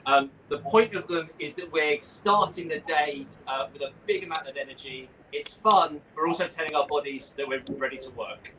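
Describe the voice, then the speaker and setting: A man's voice: fairly high pitch, moderate pace, few pauses, pushed and bright. A man giving live exercise instructions in an upbeat, encouraging manner. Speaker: practitioner leading a warm-up class. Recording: noisy room sound, far from mic.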